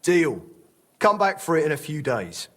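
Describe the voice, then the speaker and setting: A man playing a gruff, laconic medieval craftsman with a husky voice in a video game. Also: a little high, sing-song, measured pace, a bit bright. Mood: gruff, agreeable. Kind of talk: naturalistic game dialogue.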